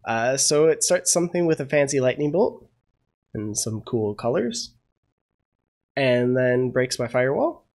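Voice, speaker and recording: A young man getting over a cold, walking through a coding tutorial as he thinks aloud, with lots of "uh" and unsure asides. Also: a little high. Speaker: programming tutorial host. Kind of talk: casual screen-share coding tutorial.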